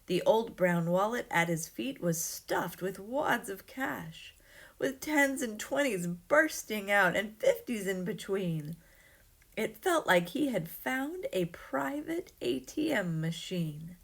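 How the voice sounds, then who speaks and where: A woman reading a rhyming children's story aloud in an expressive, dramatic way. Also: medium pitch.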